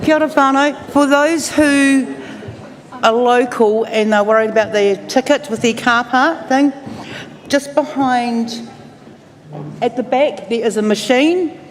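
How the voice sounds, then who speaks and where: A woman with a New Zealand accent, working as MC, makes brisk practical announcements. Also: a little high, steady tone. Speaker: event MC. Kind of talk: making announcements. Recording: hall reverb with crowd.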